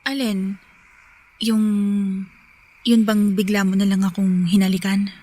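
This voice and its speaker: A woman's voice: mid-range voice, steady tone, mellow, close to an everyday voice. An actress playing a conflicted young single woman in a forbidden romance, speaking hesitantly in Filipino radio drama style. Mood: flustered, reluctant. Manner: hesitant, halting, guilty.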